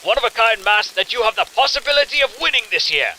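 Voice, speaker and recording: Bright, sinister festival showman. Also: very high voice, sing-song, quick pace, a little hiss or hum, exaggerated voice, rough recording. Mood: gleeful showman. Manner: mock-polite, taunting showman delivery. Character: sinister, theatrical male festival host with gleeful menace. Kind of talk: audio drama acting.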